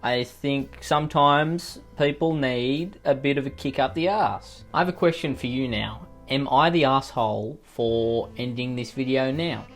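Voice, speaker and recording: A man's voice: medium pitch, unhurried, hardly any pauses, soft background music. A man with an Australian accent reading out online posts and riffing on them with profane, sarcastic, rambling comedic asides. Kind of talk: comedic commentary on read-out posts.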